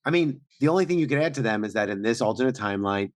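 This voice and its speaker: A man's voice: medium pitch, lively intonation, racing, dry recording. A man co-hosting a podcast in casual, informal conversation, with some swearing. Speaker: podcast co-host.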